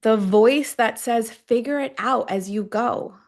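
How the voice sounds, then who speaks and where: Woman guiding affirmations in deliberate phrases. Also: a little high, darkish tone. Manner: deliberate, with long pauses between short phrases. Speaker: guide of a self-affirmation tapping session. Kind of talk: guided affirmation meditation.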